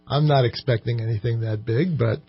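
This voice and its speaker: Male interview guest, heavy vocal fry, rough tone, measured, halting, explanatory, informal radio. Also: medium pitch, muffled recording. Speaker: economic development corporation president, interview guest.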